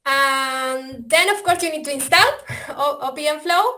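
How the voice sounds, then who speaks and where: High-voiced Argentinian woman presenting. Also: a little slow, sharp tone. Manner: hesitant, many fillers and restarts. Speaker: software developer presenting. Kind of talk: webinar presentation. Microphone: video call.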